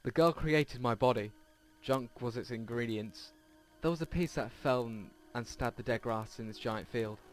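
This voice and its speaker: A man's voice: mid-range voice, moderate pace, few pauses. A man hosting a let's play, reading visual novel text aloud and stumbling over words now and then. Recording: game music behind the voice. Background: game music.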